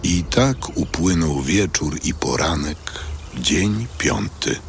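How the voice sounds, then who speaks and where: A man narrating an audio Bible in a solemn, measured, scripted reading. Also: sits low, lively intonation, vocal fry, slight background noise. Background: loud music.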